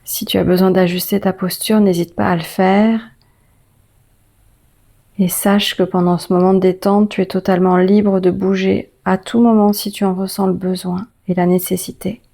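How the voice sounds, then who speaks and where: A woman guiding a yoga nidra meditation as the teacher, speaking in a gentle, soothing, unhurried way with long pauses. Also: medium pitch, flat delivery, creaky. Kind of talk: guided meditation.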